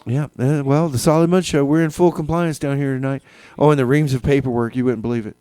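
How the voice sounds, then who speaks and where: A man's voice: medium pitch, fairly even intonation, fast, dark tone, smooth, no echo. A man hosting a music radio show with casual, hesitant, tongue-in-cheek patter, often repeating phrases for effect. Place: radio studio.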